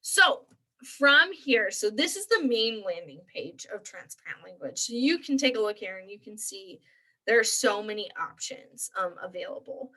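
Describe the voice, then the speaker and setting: A woman's voice: mid-range voice, very animated, normal speed, sharp tone, low-quality recording. A young woman librarian walking viewers through an online resource in a chatty, upbeat way with lots of fillers. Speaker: librarian presenting a tutorial. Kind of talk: screen-share walkthrough.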